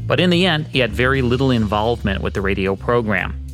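A man's voice: mid-range voice, lively intonation, fast talker, a bit bright. A man hosting a program with scripted radio history narration. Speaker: program host. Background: faint music.

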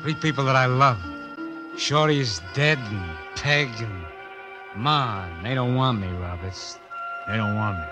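An American actor playing a troubled, impulsive champion boxer in a 1950s radio drama, emotional in delivery. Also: male voice, mid-range voice, a little slow, lightly acted. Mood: desperate, self-pitying. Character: hot-headed, troubled champion prizefighter, a man. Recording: vintage radio recording with music.

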